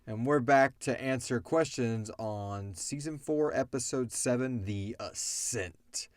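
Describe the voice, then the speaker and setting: A man co-hosting a casual question-and-answer chat, speaking in an animated way and swearing freely. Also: mid-range voice, slow pace, no room sound. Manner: animated, swearing, acts out imagined dialogue. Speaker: co-host of an audio drama Q&A show.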